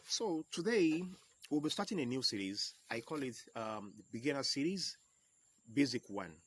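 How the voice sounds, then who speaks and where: Husky Yoruba-accented man teaching drums. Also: medium pitch, fairly bright tone. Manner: slow, repeats key terms, explains step by step. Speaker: percussion teacher. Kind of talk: home video lesson.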